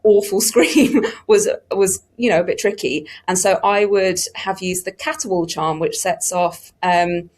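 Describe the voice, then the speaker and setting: A woman's voice: lowish voice, steady tone, a little slow, hardly any pauses, a little mellow. A woman telling casual anecdotes on a discussion panel in an informal, enthusiastic way. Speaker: production team member on a panel.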